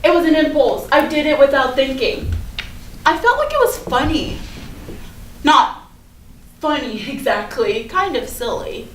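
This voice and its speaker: A woman's voice: sits high, big pitch swings, measured pace, pauses often. A woman playing a popular, defensive teenage schoolgirl in a staged play reading, with chatty, casual, hedging delivery. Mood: defensive, flustered. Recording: echoey room from far off, noisy.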